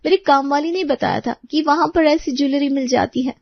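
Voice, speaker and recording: A woman's voice: high-pitched, brisk, dry recording, dull audio, pitched above the performer's own voice. A woman voicing a haughty, boastful rich neighbour in an audio storybook, with a condescending, showy manner. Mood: smug, boastful.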